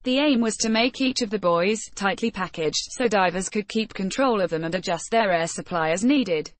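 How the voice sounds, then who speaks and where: Female news voice-over, steady read, dry close recording. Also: medium pitch, moderate pace, no room sound. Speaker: news reader.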